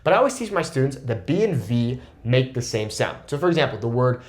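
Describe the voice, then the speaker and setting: A young man teaching Spanish in a casual video lesson, explaining points in a lively way and often checking in with "okay?". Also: mid-range voice, a little fast.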